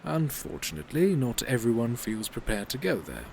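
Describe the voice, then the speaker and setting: An actor playing a polite, unflappable, eccentric reaper in an audio drama, speaking formally and with fussy precision. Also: male voice, mid-range voice, big pitch swings, creaky, a little hiss or hum. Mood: composed, explanatory. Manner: formal, measured, fussily precise.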